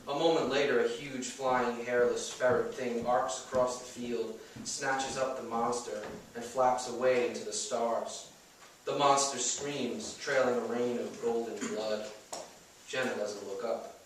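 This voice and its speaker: A man's voice: medium pitch, steady tone, measured pace. A man reading first-person fiction aloud as its author at a live bookstore event. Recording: echoey room, distant mic, faint noise.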